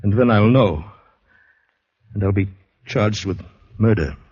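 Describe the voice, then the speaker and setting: An actor playing an anxious, shaken man who tells a suspenseful story haltingly and dramatically in a vintage American radio drama. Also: lowish voice, a little mellow, slightly rough. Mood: uneasy. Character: haunted man confessing a nightmarish night, anxious, shaken. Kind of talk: 1940s-50s American radio drama narration and acting. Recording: muffled old radio broadcast recording with music.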